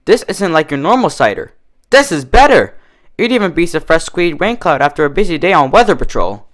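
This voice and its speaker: A man voicing a brash, cocky young female cartoon pegasus who is boastful and excitable as she gets drunk. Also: fairly high pitch, a little fast, pitched above the performer's own voice. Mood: delighted.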